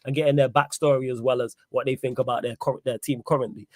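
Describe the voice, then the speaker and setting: A man's voice: medium pitch, fairly even intonation, fast talker, no echo, clean studio sound. Young man hosting a stream. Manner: hypes viewers, reads chat messages aloud. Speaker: host. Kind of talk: casual live stream chat.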